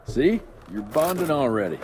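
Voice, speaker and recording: A man's voice: mid-range voice, big pitch swings, normal speed, noisy background, natural voice. An actor playing a tough, sarcastic male vampire hunter in a game cutscene, blunt and wry. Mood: wry, impatient. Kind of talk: video game cutscene acting. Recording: clear outdoor ambience, birds behind.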